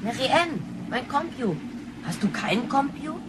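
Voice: high voice, sing-song, moderate pace, background noise. A young performer playing a cheeky grandchild from the future in a science-fiction radio play, slangy and scornful. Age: child or young teenager. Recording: music behind the voice.

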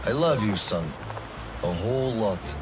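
A terse military father, expressive. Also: on the low side, measured pace, loud music behind the voice, low-quality recording. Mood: tender, firm. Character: gruff military colonel father, middle-aged, stern but loving. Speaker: father and colonel. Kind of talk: dramatic video game acting.

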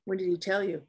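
A woman acting a guarded, masculine-presenting Navy officer in a table read of a drama, speaking in a dry, measured way. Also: fairly low pitch, gravelly, slightly rough, exaggerated voice.

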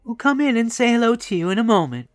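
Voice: medium pitch, big pitch swings, fast, no room sound, clean studio sound, soft background music, voice pushed up, close to an everyday voice. A narrator voicing a kindly, chatty old grandmother in an audiobook, motherly and emphatic. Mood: reassuring.

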